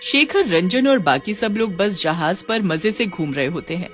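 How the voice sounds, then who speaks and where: A woman's voice: lowish voice, animated, normal speed, a bit bright, soft background music. A woman narrating a scripted cartoon story.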